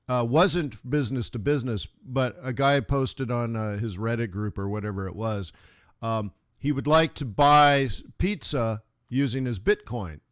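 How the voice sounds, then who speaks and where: A man's voice: mid-range voice, smooth. Chatty man hosting a trading stream. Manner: chatty, laughs often, trails off into asides. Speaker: live trading stream host. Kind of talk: casual rambling livestream talk.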